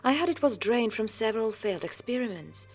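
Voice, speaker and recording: A woman playing a stern, suspicious elder sister, a dark elf warrior in an audio drama, cutting and imperious. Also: a little high, lively intonation, fairly quick. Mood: stern, accusing. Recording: music under the voice. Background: music.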